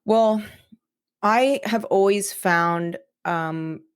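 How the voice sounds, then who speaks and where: A woman's voice: medium pitch, very slow, clean tone. A woman guest on a podcast, talking casually and reflectively about her writing, thinking aloud in informal speech. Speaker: podcast guest, audio drama writer.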